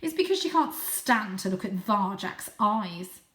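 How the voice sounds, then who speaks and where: A woman's voice: medium pitch, big pitch swings, a little slow, pushed and bright, echoey room. A woman voicing a sneering, bullying young male cat in a storybook, with a snarling delivery. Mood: scornful.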